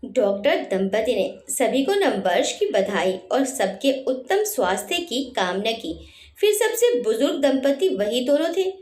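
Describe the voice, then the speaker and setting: A woman narrating a scripted family story in an emotive, expressive way. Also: medium pitch. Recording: roomy echo.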